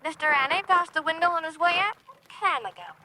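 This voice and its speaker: A woman's voice: extremely high pitch, lively intonation, a little fast, noisy background. A woman playing a flirty, teasing working-class sweetheart in a radio drama, coaxing and cheeky.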